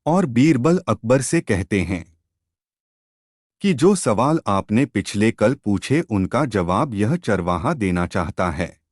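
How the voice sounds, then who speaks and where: A man narrating a scripted moral story for a cartoon video in a measured, expressive storytelling manner. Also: lowish voice, no room sound, studio quality.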